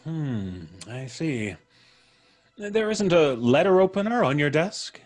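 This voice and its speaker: An actor playing a polite, probing, quietly menacing visitor in an old-time radio mystery, musing deliberately with frequent hums. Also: male voice, on the high side, big pitch swings, soft background music, full character voice. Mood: probing, courteous.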